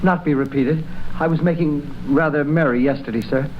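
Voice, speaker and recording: A man's voice: fairly high pitch, fairly even intonation, normal speed, slight background noise, close to an everyday voice. A man playing a timid clerk, muffled old radio. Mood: meek, apologetic. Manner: deferential, timid. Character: meek, apologetic male clerk, humble and polite. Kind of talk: 1930s radio drama acting.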